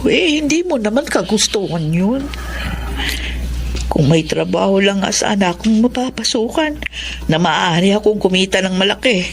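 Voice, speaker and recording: A woman's voice: mid-range voice, animated, normal speed, lightly acted. A middle-aged woman playing a worried, caring mother of a poor family in a Filipino radio drama, with an anxious, tender manner. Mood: sorrowful.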